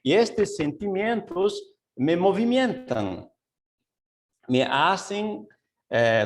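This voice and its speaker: A man leading a Bible study over a video call as pastor, mixing Portuguese with Spanish, slow and searching, with many fillers and asides. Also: a little high.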